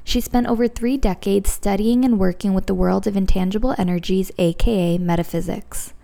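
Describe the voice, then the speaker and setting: A woman hosting a podcast, reading a scripted guest introduction in a measured, list-like way. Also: mid-range voice, steady tone, vocal fry, warm tone. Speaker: podcast host.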